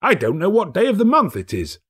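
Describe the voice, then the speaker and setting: A man voicing a giddy, overjoyed old miser reformed overnight, excitable, breathless and full of exclamations, in a storybook reading. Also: sits high, a little fast, breathy edge, voice pushed up, exaggerated voice. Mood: giddy glee.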